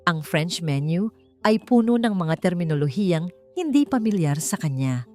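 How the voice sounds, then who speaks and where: A woman narrates scripted romantic fiction as a dramatic storyteller. Her voice sits a little low, with faint music playing underneath. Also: very animated, moderate pace, a little mellow.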